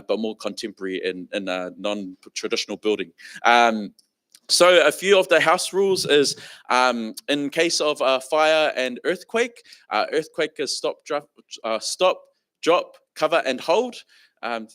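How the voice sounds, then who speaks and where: A man from Samoa hosts a speech competition as its master of ceremonies. His voice sits at a middle pitch, with a dry, close sound. He talks in a halting way, with frequent ums and uhs. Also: fairly bright tone, no echo. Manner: hesitant, many fillers, multilingual greetings. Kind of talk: opening address at a speech competition. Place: conference room.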